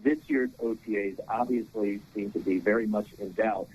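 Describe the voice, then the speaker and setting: A male sports reporter politely asking a question on a press call, speaking in a halting way. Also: fairly low pitch, fairly even intonation, a little mellow. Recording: noisy conference phone line.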